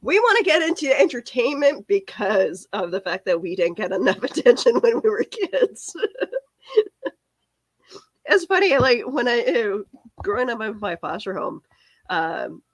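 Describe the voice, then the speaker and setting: A woman's voice: medium pitch, dry recording. Woman with a cracking cold voice. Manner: rambling, chatty, answers viewer comments. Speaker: live stream podcast host. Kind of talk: casual live stream chat.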